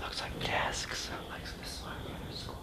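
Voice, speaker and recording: A young man filming an urban exploring vlog, often whispering as he walks through an abandoned building. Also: normal speed. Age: young adult. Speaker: vlogger.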